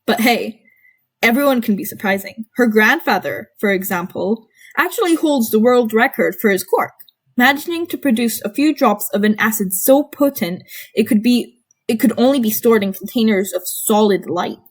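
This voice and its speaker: A woman's voice: a little high, animated, moderate pace, husky. A woman with a bubbly, informal manner, reading fan fiction aloud and chatting to her listeners as an amateur narrator. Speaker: podfic narrator and channel host. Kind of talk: amateur audiobook narration and casual chat to listeners.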